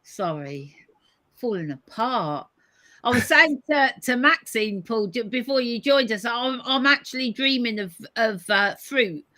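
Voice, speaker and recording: A woman hosting a live-stream conversation over a video call, hoarse today, thoughtful as she reads out viewer comments. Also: on the high side, animated, a little slow, bright, forceful tone, dry recording. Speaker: host.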